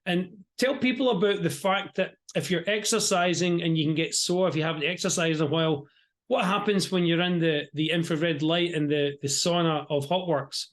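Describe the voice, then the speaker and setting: A man born in Europe hosts a relaxed interview over a video call. His voice sits high for a man, and he talks in a loose, chatty way, doubling back and repeating words. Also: moderate pace. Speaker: interview host. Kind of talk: casual virtual interview.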